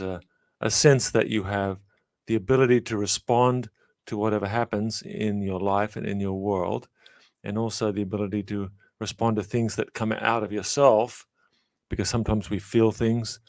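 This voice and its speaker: A middle-aged man from Australia, a professor giving measured, reflective interview answers full of small pauses and fillers. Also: fairly low pitch, little pitch movement, a little mellow, no room sound, studio quality. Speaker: guest, professor.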